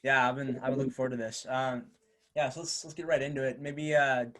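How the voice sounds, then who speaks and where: Young dude hosting a podcast, mid voice, super hesitant, says like a lot. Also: male voice, mid-range voice, flat delivery, a little fast, a bit bright. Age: young adult. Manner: hesitant, heavy on "like" fillers. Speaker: podcast host. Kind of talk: casual chat.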